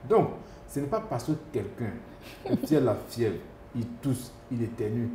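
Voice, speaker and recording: A man's voice: mid-range voice, expressive, unhurried, noisy background. A man, a doctor answering interview questions, hesitant, with many fillers and restarts. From Côte d'Ivoire. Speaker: epidemiologist doctor. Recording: echoey room with faint ambience.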